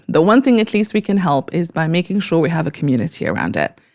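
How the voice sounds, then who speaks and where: This is a woman with a voice a little lower than most. She speaks as a podcast host in a conversational interview. Her delivery is earnest and effusive.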